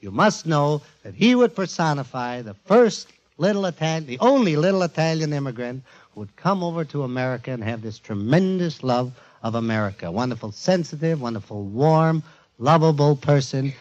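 A man's voice: fairly high pitch, animated, normal speed, a little mellow. Informal emphatic man on a dull old recording. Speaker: radio show presenter warming up audience. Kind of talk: audience warm-up introduction. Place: before a live studio audience. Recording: dull 1940s radio archive recording.

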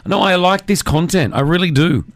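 A man's voice: high voice, moderate pace, dry recording. A man hosting a radio show, chatting casually with a co-host and taking listener calls, laughing often.

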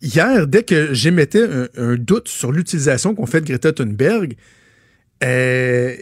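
A man's voice: medium pitch, measured pace, slightly rough, no room sound, studio quality. A man with a Quebec French accent co-hosting a radio show, chatting casually and laughing as he tells stories. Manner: laughing, animated storyteller. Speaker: radio co-host. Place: radio studio. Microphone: studio mic.

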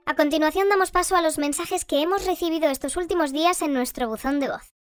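A high female voice over soft music: an actress as a young bulletin presenter in an audio fiction. She is polite and composed, with a scripted news delivery. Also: fast talker, lightly acted.

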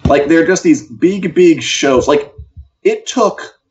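Animated American man, slightly muffled audio. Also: fairly high pitch, slow pace. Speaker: co-host of an entertainment news show. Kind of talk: casual chat.

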